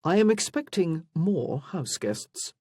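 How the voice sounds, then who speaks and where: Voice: mid-range voice, big pitch swings, takes it slowly, darkish tone, breathy edge, no room sound, studio quality, full character voice. A narrator voicing a haughty, cool aristocratic head of a family, formal and aloof, in a period romance audiobook.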